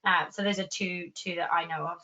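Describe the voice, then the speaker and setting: A woman's voice: on the low side, fairly even intonation, a little fast, bright, forceful tone. A woman answering questions conversationally as a presenter in an online webinar. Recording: dull, lo-fi online call audio.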